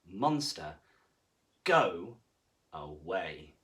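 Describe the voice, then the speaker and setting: A man voicing a male deputy headteacher from a children's storybook, speaking in a deliberate, emphatic way. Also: deep voice, big pitch swings, fairly bright tone, recorded from a distance, voice pushed down, heavily acted. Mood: cross. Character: stern, pompous male deputy headteacher, softening later.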